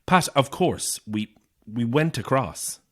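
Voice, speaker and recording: A man's voice: mid-range voice, lively intonation, takes it slowly, a bit bright. An actor playing an anxious, isolated young Irish man, edgy and sardonic, in a naturalistic audio horror drama. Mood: defensive, flustered.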